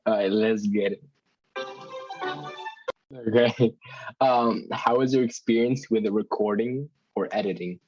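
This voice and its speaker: A man's voice: on the low side, measured pace, long pauses, a little mellow, lo-fi sound, faint music behind. A young man from northern New Jersey co-hosting a podcast chat, reflective and hesitant, with a lot of fillers. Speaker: podcast co-host. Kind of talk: casual chat.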